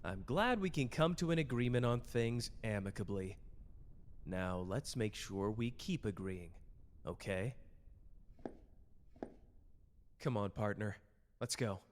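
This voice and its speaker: A man's voice: lowish voice, lively intonation, measured pace, slight background noise. A cocky young sorcerer voiced by a man speaks with taunting, sardonic ease and loose slang. He is a protective clan head in an anime audio drama. His voice rings with long, hall-like reverb. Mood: smug. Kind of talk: character roleplay audio drama addressed to the listener.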